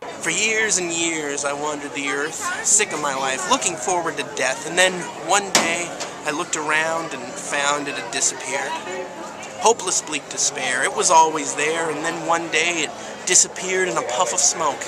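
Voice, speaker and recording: A woman's voice: sits low, moderate pace. A woman giving an emphatic, theatrical dramatic reading of song lyrics, like a storyteller. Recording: loud music and background crowd noise. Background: loud music and busy crowd sound.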